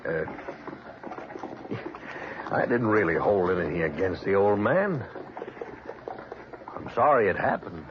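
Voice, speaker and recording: An actor playing an uneasy, defensive cowboy, hesitant and halting, in an old radio western. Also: male voice, low-pitched, sing-song, exaggerated voice. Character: defensive, uneasy cowboy who lost a race. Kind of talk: 1950s radio western acting. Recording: muffled, noisy old radio recording.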